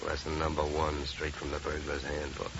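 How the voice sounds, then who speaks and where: Tough insurance investigator, American, low-pitched, sardonic and clipped, muffled 1950s radio drama, light hiss. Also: male voice, completely flat delivery, moderate pace. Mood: wry, threatening. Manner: clipped, sardonic, hard-boiled. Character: tough, wry, wary male insurance investigator. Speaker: actor playing the lead investigator. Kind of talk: 1950s radio detective acting. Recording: muffled, noisy old radio recording.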